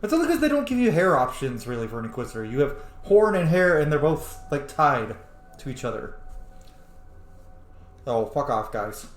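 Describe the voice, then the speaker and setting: Animated, irreverent man doing game commentary. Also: mid-range voice, moderate pace. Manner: irreverent, sweary, mocking. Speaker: gaming video host. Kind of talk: casual roleplay playthrough commentary. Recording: echoey room.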